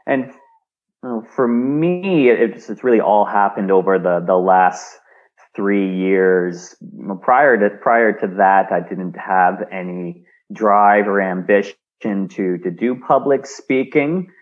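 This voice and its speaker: A man's voice: lowish voice, takes it slowly, highs cut off. A Canadian man telling his story as an interview guest, often repeating and restarting words. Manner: frequent word repeats and restarts. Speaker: guest, speaker and engineer. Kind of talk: remote interview, reflective storytelling.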